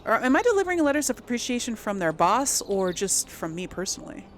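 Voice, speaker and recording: A woman's voice: a little high, big pitch swings, fast talker, a little hiss or hum. A woman giving casual video game commentary, thinking aloud as she chats to her audience. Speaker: host of a video game let's play.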